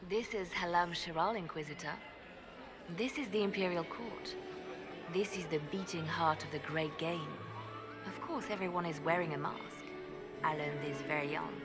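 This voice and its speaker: A woman voices a poised spymaster who sizes people up with a dry, scornful wit. Her tone is cool and knowing, set a little low, with music swelling clearly under the game scene. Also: normal speed, lightly acted. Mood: wry. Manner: cool, knowing, quietly sardonic. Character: shrewd poised female spymaster, dryly scornful. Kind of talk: video game cutscene dialogue. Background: orchestral music.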